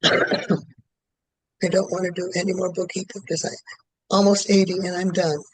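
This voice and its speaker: A man in his late seventies, a client in a tapping session, who repeats one statement over and over in an even rhythm. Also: high-pitched, measured pace, no echo, poor audio. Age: 70s.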